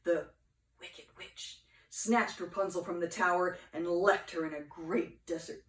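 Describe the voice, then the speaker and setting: An older woman reads a storybook aloud expressively, with dramatic pauses and playful asides to children. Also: lowish voice, steady tone. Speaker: grandmother reading to grandchildren. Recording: echoey room, distant mic.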